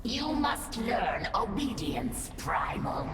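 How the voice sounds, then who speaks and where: A woman's voice: medium pitch, very animated, slow, very noisy. A woman voicing a haughty, fiercely loyal alien brood commander in a video game, stern and scolding. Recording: echoing, with music behind.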